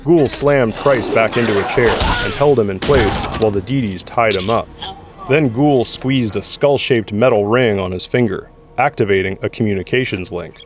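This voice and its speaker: A man's voice: lowish voice, normal speed. A man reading scripted third-person narration for an audio drama.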